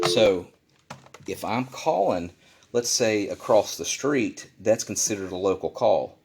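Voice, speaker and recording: A man's voice: low voice, noisy background. A man presenting a casual how-to demonstration indoors, explaining things plainly and repeating himself for clarity. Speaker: instructor, video presenter. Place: indoor room at a desk.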